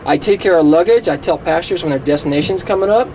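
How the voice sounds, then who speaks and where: A friendly train attendant played by a man speaks fast and obligingly. There is faint noise behind his voice. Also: fairly high pitch, a little mellow, close to an everyday voice, rough recording. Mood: friendly, brisk.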